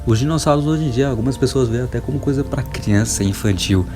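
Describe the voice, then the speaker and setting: A man's voice: mid-range voice, fast, music in the background. A Brazilian man hosting a casual enthusiast video, talking in an emphatic, informal, wonderstruck way. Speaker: video host.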